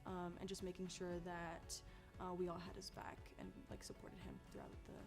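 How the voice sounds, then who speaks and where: A woman's voice: fairly low pitch, little pitch movement, moderate pace. A young woman, a student actor, talking casually in an interview. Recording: music under the voice.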